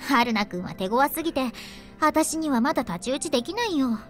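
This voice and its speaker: A playful young woman in a visual novel game, speaks in a high, animated voice at a very quick clip. She is bold and teasing, with soft music behind her. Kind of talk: Japanese visual novel voice acting.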